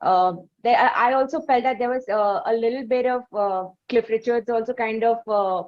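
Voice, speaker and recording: A woman's voice: fairly high pitch, normal speed. A woman hosting an interview, asking questions in a hesitant, roundabout conversational way. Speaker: interviewer, host. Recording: slightly dull, lo-fi audio.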